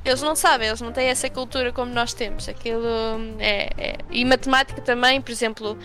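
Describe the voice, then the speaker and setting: A woman's voice: fairly high pitch, steady tone, fast, pushed and bright, soft background music. A young woman with a European Portuguese accent chatting informally and emphatically as an interview guest, telling anecdotes in slangy speech. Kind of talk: casual conversational anecdotes.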